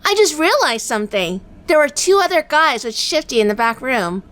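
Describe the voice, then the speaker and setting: A woman playing a sharp-tongued, determined female sleuth with dry, teasing banter in a noir-flavoured audio drama. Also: high-pitched, very animated, moderate pace. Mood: urgent.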